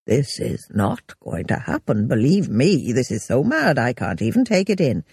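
A woman's voice: a bass voice, huge pitch swings, moderate pace, creaky, a little mellow, breathy edge, no room sound, voice pushed down, full character voice. A woman voicing an exasperated, defensive middle-aged man who sneers and blusters. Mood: indignant. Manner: sneering, indignant.

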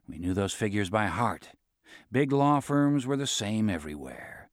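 A man's voice: lowish voice, very animated, unhurried, no room sound, clean studio sound. Wry male audiobook narrator. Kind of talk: scripted first-person narration.

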